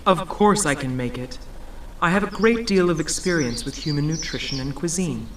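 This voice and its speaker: An actor playing a prim, faintly catty robot companion with precise, formal speech in an audio drama. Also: male voice, fairly high pitch, expressive, moderate pace, reverberant, a little hiss or hum, lightly acted. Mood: prim, matter-of-fact. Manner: precise, formal, matter-of-fact.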